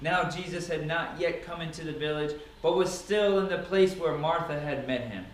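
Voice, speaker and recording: A man's voice: fairly high pitch, normal speed. A man giving a dramatic, emphatic scripture reading with theatrical delivery. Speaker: Bible reader. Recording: echoey room, distant mic, noisy.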